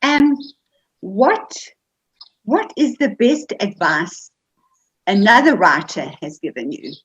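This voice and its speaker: Husky woman hosting on a dull call. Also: mid-range voice, big pitch swings, slow, fairly bright tone, no room sound. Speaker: host of a writers' group seminar. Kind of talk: conversational interviewing. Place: online video call. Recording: dull, lo-fi video-call audio.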